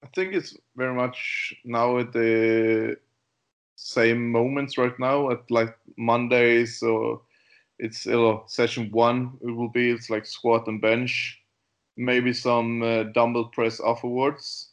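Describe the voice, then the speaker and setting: Slow, halting man from Denmark. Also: medium pitch, level tone. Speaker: guest powerlifter. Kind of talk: casual interview chat.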